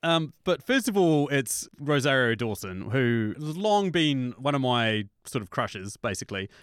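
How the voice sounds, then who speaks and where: A young man with a New Zealand accent in casual chat, rambling, animated and swearing freely. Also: medium pitch, measured pace, smooth, no room sound, clean studio sound.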